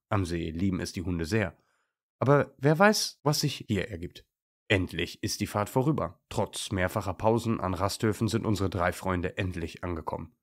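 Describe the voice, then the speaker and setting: Deep, creaky male children's storyteller. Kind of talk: scripted children's story narration.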